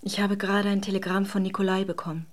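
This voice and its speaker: A woman's voice: mid-range voice, flat delivery, quick pace, natural voice. An actress playing an emotional, effusive married Russian woman in a German radio drama. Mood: subdued, resigned. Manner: theatrical, emotionally volatile, effusive.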